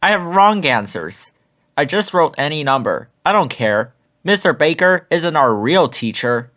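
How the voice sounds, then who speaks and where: A man's voice: a little high, sing-song, measured pace, bright, forceful tone, full character voice. A man reading as a cheeky young boy from a storybook, the class clown who loves to boss others around. The voice is raised and swings up and down with plenty of bounce. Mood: flippant.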